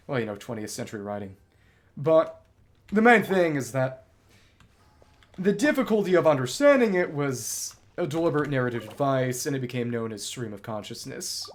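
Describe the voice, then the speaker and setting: A man livestreaming a video game, giving casual, bookish commentary that wanders into long tangents. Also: mid-range voice. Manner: bookish, discursive, digressive.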